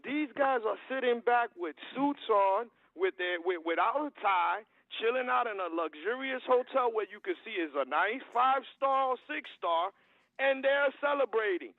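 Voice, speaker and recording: Very high-pitched man on a phone line. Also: animated, moderate pace, dry recording. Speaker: call-in talk show panelist. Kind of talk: casual discussion. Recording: tinny dry phone line.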